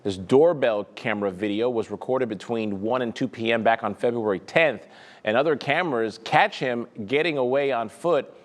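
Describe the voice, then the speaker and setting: A man reads breaking news in a mid-pitched, steady voice. His delivery is formal and even, like a standard newscast. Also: normal speed. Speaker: news anchor. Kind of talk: read news copy.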